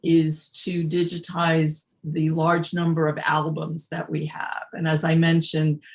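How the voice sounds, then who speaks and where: Slow, even-toned panelist. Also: mid-range voice, vocal fry. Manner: deliberate, halting, pausing often to think. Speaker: panel speaker answering questions. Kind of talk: unscripted explanatory answer.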